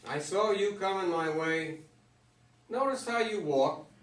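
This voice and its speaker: Guy acting as old retired baseball great in a stage play, high voice, folksy advice, echoey and distant. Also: a little slow. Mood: reflective. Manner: plainspoken, folksy advice-giving. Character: retired baseball legend, older man, plainspoken, avuncular. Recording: roomy stage, far from microphone.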